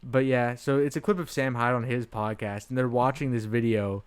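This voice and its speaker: Young male podcast host, mid-pitched, loose and giggly, storytelling with lots of restarts. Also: steady tone, normal speed, smooth. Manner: laughs often, rambling with restarts. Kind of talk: casual comedic chat.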